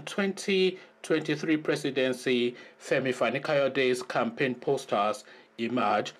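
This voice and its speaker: A man hosting a commentary video, reading headlines aloud in a mocking, sarcastic tone. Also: mid-range voice. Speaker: host.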